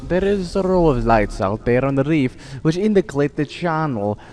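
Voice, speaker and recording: Pompous, theatrical aristocratic hunter general. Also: male voice, fairly high pitch, very animated, normal speed, darkish tone. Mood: menacing, genial. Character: suave, boastful aristocratic general who hunts men for sport. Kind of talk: old-time radio drama acting.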